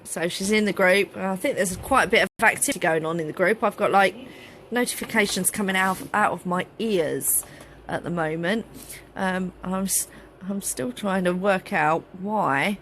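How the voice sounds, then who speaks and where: A slightly hoarse woman in her thirties chats on a phone held at arm's length in a cluttered craft studio. She rambles along with fillers and restarts, and there is faint background noise behind her. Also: mid-range voice, moderate pace, a bit bright. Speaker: craft group host. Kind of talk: casual live-stream chat.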